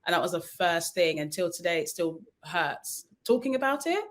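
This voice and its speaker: A woman's voice: on the low side, expressive, moderate pace. A young adult woman, born in Nigeria and raised in London, speaking as a guest in a panel discussion, telling a personal story in an earnest, reflective way. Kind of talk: casual discussion.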